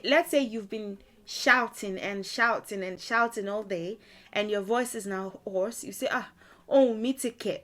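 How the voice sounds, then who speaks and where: Slow, patient woman teaching a language lesson. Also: mid-range voice. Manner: thinking aloud with frequent fillers and restarts. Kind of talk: explaining vocabulary in a video lesson.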